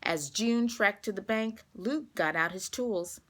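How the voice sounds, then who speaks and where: A woman reading a children's storybook aloud, expressive and carefully paced for young listeners. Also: medium pitch, measured pace.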